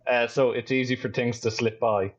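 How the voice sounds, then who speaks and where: A man with a softened Irish accent, chatting easily as a podcast guest and often repeating words as he starts a sentence. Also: mid-range voice, little pitch movement, fairly quick, dull audio. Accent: Irish, softened international English. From Ireland. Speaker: guest, optics business owner. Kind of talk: casual podcast conversation.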